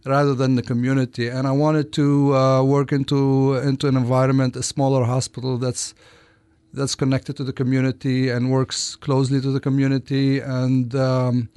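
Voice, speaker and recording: A man from Lebanon answering interview questions as a guest doctor, with hesitant, halting phrasing and repeated false starts. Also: medium pitch, monotone, darkish tone, clear, resonant tone, no echo. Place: radio studio.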